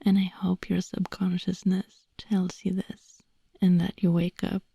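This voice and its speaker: A woman from Sweden with a Swedish accent, English not her first language, rambling in a soft whisper as an ASMR voice actor close to the mic. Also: lowish voice, little pitch movement, a little slow, vocal fry, husky, no echo, dull audio.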